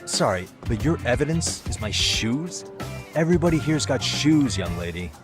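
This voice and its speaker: A man's voice: mid-range voice, sing-song, normal speed, over music, natural voice. A man voicing an easygoing, wry lawyer turned investigator in a video game, with casual phrasing. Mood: wry, exasperated.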